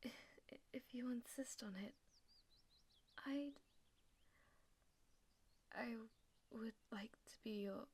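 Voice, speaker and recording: A woman's voice: a little high, slow, long pauses, darkish tone, breathy edge, exaggerated voice. A woman playing a theatrical, delusional schoolgirl in an audio roleplay, speaking in grandiose formal phrases and stammering when flustered. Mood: flustered, timid. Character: melodramatic, delusional schoolgirl who fancies herself a demon lord's aide. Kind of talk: ASMR audio roleplay acting.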